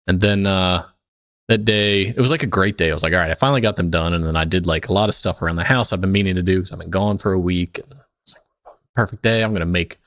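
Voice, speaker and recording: A man's voice: deep voice, fast, a bit bright, no room sound, clean studio sound. A man co-hosting a podcast, telling stories casually in a rambling, salty way full of filler words. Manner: rambling, profane, peppered with "like". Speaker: podcast host. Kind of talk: casual chat, anecdotal storytelling.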